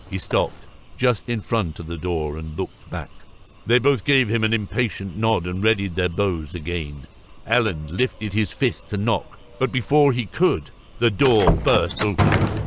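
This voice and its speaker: Low-voiced male audiobook narrator. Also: slight background noise.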